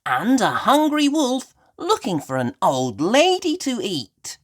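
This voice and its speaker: Woman playing eager little girl pig, highly animated. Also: full character voice. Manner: eager, sing-song, drawn-out delivery. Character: cheerful imaginative little girl pig, Peppa. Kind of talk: read-aloud children's storybook voice.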